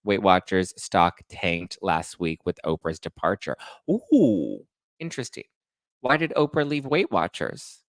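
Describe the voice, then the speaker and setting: A man's voice: low voice, big pitch swings, unhurried, dry recording, clean studio sound. A man in his thirties hosting a casual gossip livestream, chatting to viewers in a sassy, playful, slangy way. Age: 30s. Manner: sassy, slangy, playful, addressing listeners as honey and boo. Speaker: host of a pop-culture livestream show.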